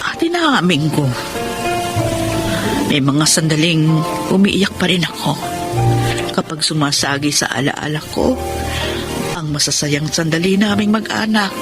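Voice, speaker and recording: A woman's voice: low voice, lively intonation, a little slow, pauses often, over loud music. A woman with a Filipino accent acting an anguished, grieving middle-aged mother in an emotional, tearful radio drama style. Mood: sorrowful, reflective. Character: grieving mother of a dead eldest son, anguished.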